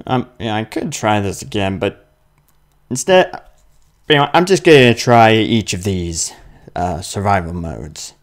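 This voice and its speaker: A young man playing a video game and commenting on it, muttering slowly and then yelping loudly in fights, with faint game music behind. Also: lowish voice, lively intonation. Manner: slow, mumbling asides that jump to loud yelps in fights. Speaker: gaming video host. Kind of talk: let's play commentary. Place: indoor room with webcam.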